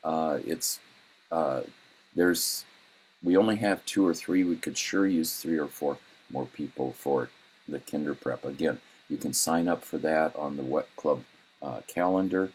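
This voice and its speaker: A man with an extremely low, husky voice runs through the week's club announcements. He stops and restarts often, filling the gaps with ums and uhs. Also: darkish tone, poor audio. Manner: halting, many ums and uhs. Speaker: club president. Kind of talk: meeting announcements.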